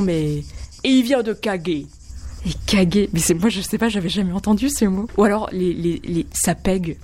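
A woman chatting casually in an interview, amused and halting, with frequent restarts. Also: lowish voice, a little mellow, a little hiss or hum. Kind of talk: casual testimony.

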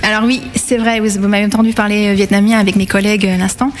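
A woman's voice: medium pitch, flat delivery, quick pace. Hesitant French woman in her thirties. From France. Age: 30s. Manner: hesitant, many fillers and restarts. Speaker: bakery manager. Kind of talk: interview answers.

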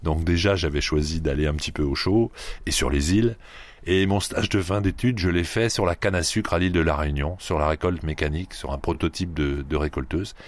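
A man in his fifties from the Paris region, an agronomist answering interview questions in a measured way, with frequent hesitations and restarts. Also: very deep voice, no echo. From Paris region, France. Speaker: agronomist researcher, guest.

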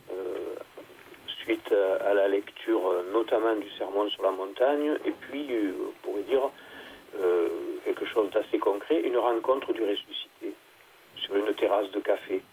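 A middle-aged pastor and theologian speaking in a radio interview, halting and hesitant, with many filler sounds and restarts. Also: male voice, fairly low pitch, darkish tone, low-quality recording. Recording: faint background noise.

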